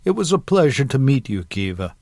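A man's voice: mid-range voice, big pitch swings, brisk, gravelly, darkish tone, no echo, studio quality, pitched above the performer's own voice. A man voicing a polite, patient grown grandson in a story, courteous and a little exasperated. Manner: courteous, restrained.

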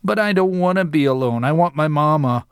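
A man's voice: fairly high pitch, lively intonation, fairly quick, clean tone, dry recording, clean studio sound. A man voicing a grown man who has the mind of a confused eight-year-old, speaking with a childlike lilt. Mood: pleading. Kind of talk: audiobook character reading.

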